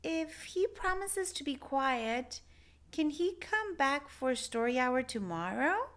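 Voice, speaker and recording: A woman's voice: high-pitched, very animated, takes it slowly, fairly bright tone, pitched above the performer's own voice, exaggerated voice. A woman voicing a polite little girl in a children's storybook. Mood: pleading.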